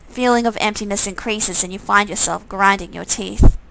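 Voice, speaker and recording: A woman's voice: mid-range voice, brisk, vocal fry, noisy background. A woman reading a visual novel game aloud in dramatic narration, breaking off for casual, off-the-cuff comments.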